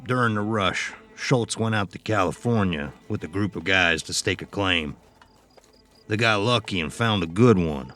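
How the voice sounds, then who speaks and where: Cynical low-voiced western outlaw. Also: male voice, normal speed, a bit bright, faint music behind. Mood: grim, cynical. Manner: folksy, rough frontier speech. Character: cynical, foul-mouthed male outlaw in a western. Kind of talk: audio horror drama acting.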